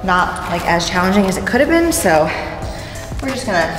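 A woman's voice: medium pitch, sing-song, moderate pace. A young woman chatting casually to the camera in an offhand, unscripted way, like a fitness vlogger filming in a big gym. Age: young adult. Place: large indoor gym with hard open space. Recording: echoey gym hall, music over it.

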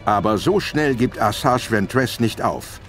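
A man's voice: mid-range voice, expressive, measured pace, a bit bright, faint music behind. A man narrating a scripted audio play in a dramatic storytelling style.

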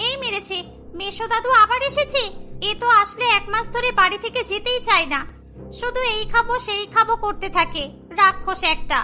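A woman puts on a cheeky young cartoon girl, extremely high and sharply bright. She sounds childlike and teasing. Music plays faintly behind. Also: brisk, exaggerated voice. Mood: grumbling. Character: cheeky, greedy young girl, bride's sister-in-law. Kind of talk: Bengali cartoon dialogue.

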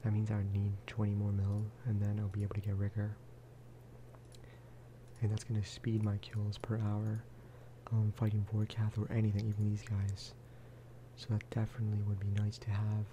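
A man giving casual, rambling ASMR game commentary, speaking softly and often slipping into whispers. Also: on the low side, completely flat delivery, moderate pace, noisy background. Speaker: gaming video host.

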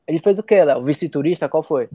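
A man's voice: fairly high pitch, fast talker, a crowd in the background. A man in his thirties co-hosting a casual video-call chat, laid-back, mostly tossing in short replies and questions. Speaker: podcast co-host. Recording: dry, dull video call audio.

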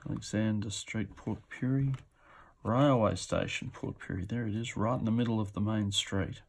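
A man's voice: fairly low pitch, steady tone, normal speed. A man giving casual, off-the-cuff commentary as he presents auction items, musing to himself as he goes. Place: indoors at a table.

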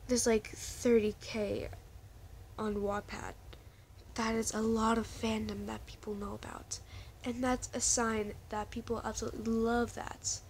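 Woman, vlogger, casual rambling chat, slow pace, faint background hum. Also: a little high, level tone, darkish tone. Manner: rambling, chatty, restarts sentences.

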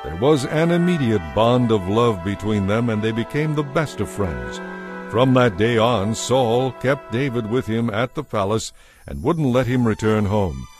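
A man narrating a dramatized scripture story in a measured storytelling manner. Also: lowish voice, animated, over music.

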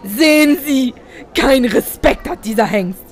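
A woman's voice: sits high, lively intonation, takes it slowly, faint music behind, some chatter behind, heavily acted. A woman voicing a grieving, indignant widowed spruce tree testifying in a comedy courtroom audio play.

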